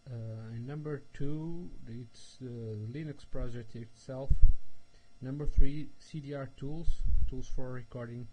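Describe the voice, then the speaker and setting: Slow, careful man. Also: mid-range voice, fairly even intonation, noisy background. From Portugal. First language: Portuguese. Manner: slow, careful speech with frequent hesitations. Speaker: software developer presenting a tutorial. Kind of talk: recorded technical presentation.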